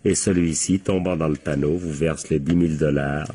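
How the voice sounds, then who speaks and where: An actor playing a sardonic, relentless police captain interrogating people in a French radio crime play, pressing and authoritative. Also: male voice, extremely low pitch, steady tone, moderate pace, mellow, husky. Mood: accusing, relentless.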